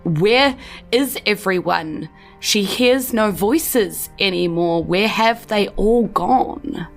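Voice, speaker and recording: Female podcast host and game master, New Zealand accent, unhurried delivery, medium pitch, music underneath. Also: gravelly. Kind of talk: podcast hosting and tabletop game narration.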